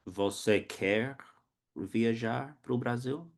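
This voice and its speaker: A man acting as host and teacher, asking slow, simple questions in a casual oral interview. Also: medium pitch.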